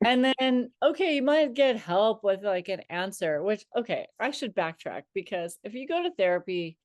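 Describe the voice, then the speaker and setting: Woman co-host, casual chat, quick to laugh, rambling phrasing, mid-pitched voice, dry close mic. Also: lively intonation, dry recording. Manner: laughs often, talks in loose run-on thoughts. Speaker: podcast co-host.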